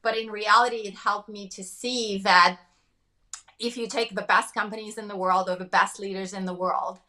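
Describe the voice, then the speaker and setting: A woman from Russia with a Russian accent, speaking fast and emphatically as a business coach in a podcast interview. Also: medium pitch, fairly even intonation, bright, forceful tone.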